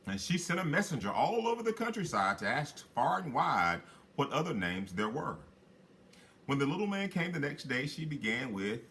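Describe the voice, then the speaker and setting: A man reading a children's fairy tale aloud as a storyteller, with dramatic, drawn-out phrasing for emphasis. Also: mid-range voice, a bit bright, slight background noise. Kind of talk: expressive read-aloud fairy tale narration.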